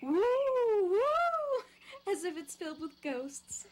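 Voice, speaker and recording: A woman's voice: very high voice, very animated, extremely slow, full character voice. A woman playing a teasing, easygoing young houseguest in an audio drama, with a playful, conversational manner. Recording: clear background noise.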